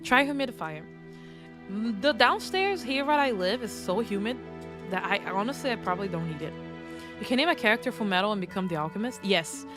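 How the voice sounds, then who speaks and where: A woman's voice: mid-range voice, expressive, fairly quick. Congested playful woman streamer, game music. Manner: playful and self-mocking, with frequent restarts. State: congested, throaty. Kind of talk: casual game stream commentary, reading game text aloud.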